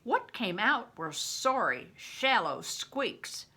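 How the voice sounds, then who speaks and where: An older woman reading a story aloud in a deliberate, dramatic, teacherly way, with chatty explanatory asides. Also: a little high, very animated, pushed and bright, husky.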